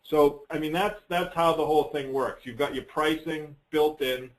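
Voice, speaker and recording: A man giving a casual, unscripted software walkthrough on a conference call, rambling a little and thinking aloud as he presents. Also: fairly high pitch, fairly even intonation, moderate pace, low-quality recording.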